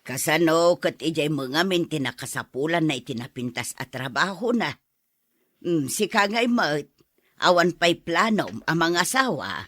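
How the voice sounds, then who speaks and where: A woman's voice: deep voice, expressive, moderate pace, a bit bright, no echo, clean studio sound. An actress in a radio drama playing a fussing, affectionate elderly mother and grandmother.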